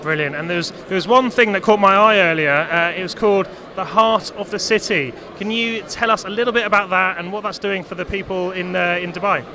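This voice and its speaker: A man with a high voice puts brisk, upbeat questions to a guest. He is reporting live from a busy exhibition floor, with a loud crowd around him. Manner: brisk and upbeat, short prompts. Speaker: interviewer. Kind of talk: live trade show reporting. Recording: crowded trade show floor.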